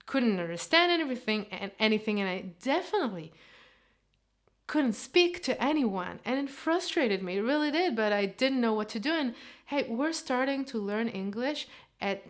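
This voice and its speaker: Woman, Israeli accent, thirties, Hebrew teacher, earnest casual vlog talk, a bit high-pitched. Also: expressive, normal speed. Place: living room set.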